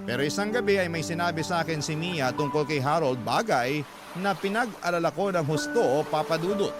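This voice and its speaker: A man's voice: a little high, expressive, brisk, over loud music. A man telling a first-person story in a dramatized narrating style.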